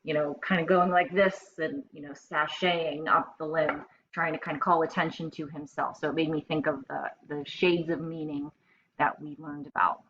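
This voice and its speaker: A woman with a fairly low voice reads a children's story like a teacher. She thinks aloud in simple, instructive asides. The recording is slightly dull and lo-fi. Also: fairly even intonation, normal speed, fairly bright tone, slight background noise. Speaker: teacher reading aloud to pupils. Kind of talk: storybook narration with explanatory asides.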